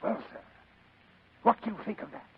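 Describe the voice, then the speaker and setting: An actor playing a genteel, scheming fat crime boss in old radio drama style, pompous, florid and ornately courteous, with a husky voice. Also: male voice, a little fast, lightly acted. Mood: grand, savoring. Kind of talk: 1940s-style radio acting. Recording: muffled old radio recording.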